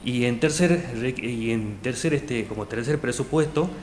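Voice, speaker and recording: A man's voice: medium pitch, moderate pace, darkish tone. Hesitant Salta man in an echoey room. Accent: Argentine Spanish, Salta. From Argentina, Salta province. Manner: hesitant, many fillers and restarts. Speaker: job candidate. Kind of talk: formal oral interview. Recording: echoey room, faint noise.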